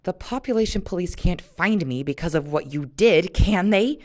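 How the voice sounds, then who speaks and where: A woman's voice: fairly low pitch, lively intonation, moderate pace, a little mellow, close to an everyday voice. A woman voicing a timid, wide-eyed, rule-abiding young boy in an audiobook-style reading, hesitant in manner. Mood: anxious. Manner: hesitant, protesting, easily embarrassed.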